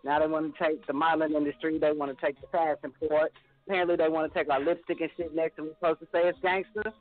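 A man's voice: on the high side, flat delivery, fast, dry recording. A man co-hosting a casual radio show, talking bluntly and profanely in ranting bursts. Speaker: radio co-host. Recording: tinny phone-line sound.

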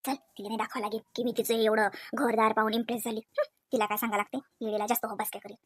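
A woman's voice: a little high, little pitch movement, very fast, breathy edge, no room sound, clean studio sound. A woman voicing a snobbish, boastful, envious sister-in-law in a comedy cartoon, affected and condescending. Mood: envious, muttering. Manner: affected, condescending, with snide muttered asides. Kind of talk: exaggerated cartoon comedy dialogue.

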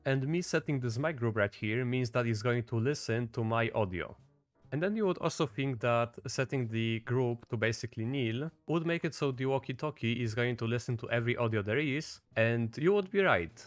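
A man's voice: medium pitch, lively intonation, normal speed, no echo. Man narrating a casual coding tutorial over music. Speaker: tutorial host. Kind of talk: casual screen-recorded scripting tutorial. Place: voice-over at a computer.

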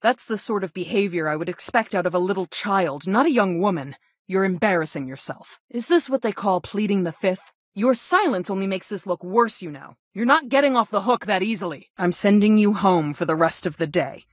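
A woman's voice: medium pitch, animated, no room sound, clean studio sound, lightly acted. A woman playing a stern, reproachful female school guidance counselor in a video game, speaking in a formal, scolding manner. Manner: formal, scolding, deliberate phrasing. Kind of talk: scripted game character dialogue.

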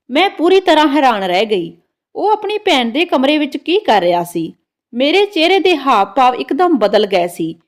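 High-pitched, lively woman narrating a story. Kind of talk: scripted first-person story narration.

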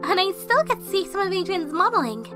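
A woman voicing an excitable, dramatic teenage girl heroine in a cartoon comic dub. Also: very high voice, brisk. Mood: flustered. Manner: flustered, dramatic. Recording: music behind.

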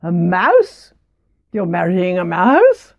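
A woman's voice: fairly low pitch, wildly swooping pitch, slow pace, no room sound, muffled recording, exaggerated voice. A woman voicing a scornful, blunt young man, the jealous brother in a told folk tale. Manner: scoffing, blunt.

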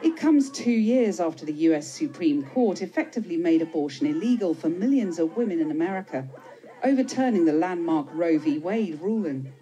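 A woman presenting the news and interviewing a guest with crisp, probing questions in a TV studio. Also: on the low side, expressive, brisk, warm tone, muffled recording, soft background music.

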